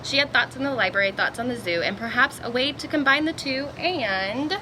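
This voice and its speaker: A woman reading a children's picture book aloud in an expressive storytelling manner, with little asides to young listeners. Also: on the high side, brisk, noisy background. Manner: expressive, acts out exclamations and dialogue.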